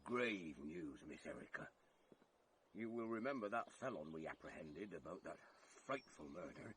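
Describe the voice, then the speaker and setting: An actor playing a ponderous, folksy British police sergeant in a vintage radio drama, pompous and chatty in manner. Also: male voice, deep voice, very animated, moderate pace, creaky, fairly bright tone, exaggerated voice. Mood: grave, pompous. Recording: noisy vintage radio play recording.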